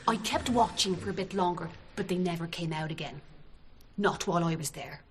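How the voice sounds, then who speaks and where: A woman's voice: on the low side, normal speed, slight background noise, natural voice. A woman playing a chatty, deferential hotel chambermaid giving earnest, insistent answers in a radio drama. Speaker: actor playing a chambermaid witness.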